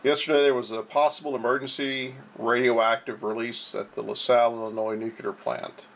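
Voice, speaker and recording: A man giving informal explanatory commentary as a blog host, with an American accent and a halting delivery full of drawn-out uhs. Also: medium pitch, flat delivery, gravelly. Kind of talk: informal explanatory commentary over on-screen reports.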